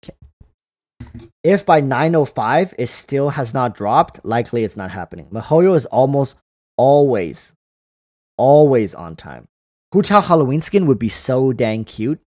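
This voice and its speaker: A man's voice: mid-range voice, big pitch swings, unhurried, darkish tone. A man hosting a casual livestream, reading out and answering viewer comments, often repeating phrases as he thinks aloud. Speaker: livestream host.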